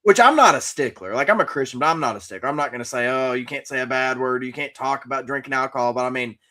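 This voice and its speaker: A man co-hosting a talk podcast, sharing casual opinions in a measured, explanatory way. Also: mid-range voice, pushed and bright. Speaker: podcast co-host.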